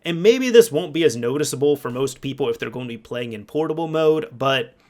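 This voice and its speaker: A man's voice: mid-range voice, lively intonation, a little fast, very noisy. A man doing casual, conversational commentary about video games, speaking emphatically like an exasperated fan. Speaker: YouTube game commentator.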